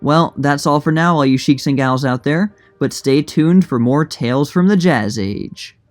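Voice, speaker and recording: A man's voice: a little high, a little slow, soft background music. A man narrating a scripted history documentary in a plain, informative manner. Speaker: narrator and creator of a history channel.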